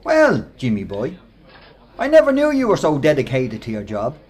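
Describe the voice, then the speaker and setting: An actor playing a bullying, mocking Irish workmate with a Dublin accent and a rough voice in a radio play. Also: male voice, a little high, wildly swooping pitch, moderate pace, a little mellow. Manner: mocking, insinuating, aggressive. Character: bullying, sneering male workmate, quick to threaten.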